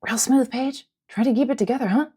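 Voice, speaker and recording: Woman doing a testy little guy sidekick, anxious, indignant, raised pitch, storybook adventure. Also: fairly quick, warm tone, slightly rough. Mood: sarcastic. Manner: grumbling, exclaiming.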